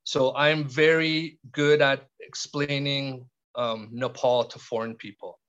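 A middle-aged man from Canada talks slowly and thoughtfully, often restarting his sentences. His voice sits at an ordinary male pitch. The audio is a bit dull and muffled. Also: steady tone, fairly bright tone, smooth. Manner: thoughtful, halting, many restarts and fillers. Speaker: podcast guest. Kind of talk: reflective conversational interview.

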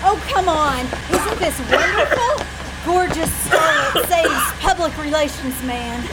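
A woman's voice: high voice, lively intonation, unhurried, over loud music. A woman acting in an old-time radio comedy as a dizzy, self-dramatizing young Hollywood starlet with theatrical, mock-tragic flourishes. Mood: excited, flighty. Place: small-town theater stage, live performance. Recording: noisy live stage recording.

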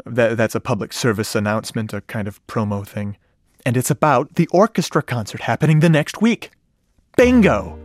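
A man's voice: medium pitch, no echo, lightly acted. An actor playing a lovestruck, self-deprecating geek, narrating his story in a confiding, earnest way in a radio drama. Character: lovestruck, self-deprecating, geeky male sound-effects artist. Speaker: narrator and lead character. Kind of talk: first-person radio drama narration, conversational. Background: music under the voice.

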